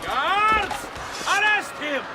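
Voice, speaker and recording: An actor playing a stern, treacherous magistrate in a video game, formal and declamatory. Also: male voice, extremely high pitch, animated, very slow, loud music behind the voice, heavily acted. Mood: commanding.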